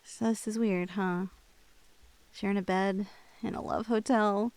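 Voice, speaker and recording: A young woman playing a shy, lovestruck cosplayer in an intimate ASMR roleplay, with girlish slangy speech and a halting, bashful manner, close on the mic. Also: mid-range voice, fairly even intonation, darkish tone, no echo, close to an everyday voice. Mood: wistful, hesitant. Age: young adult. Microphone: close, dry studio-style microphone.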